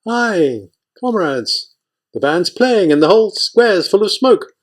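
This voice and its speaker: A man's voice: high voice, sing-song, takes it slowly, pitched above the performer's own voice, full character voice. A man playing an urgent army lieutenant. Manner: urgent, loud commands. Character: commanding male army lieutenant, odd and smiling.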